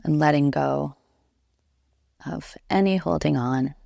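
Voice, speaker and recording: Low, creaky-voiced woman guiding meditation. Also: dry recording, studio quality. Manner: soothing, unhurried, gentle instruction with long pauses. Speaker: yoga nidra instructor. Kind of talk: guided relaxation meditation.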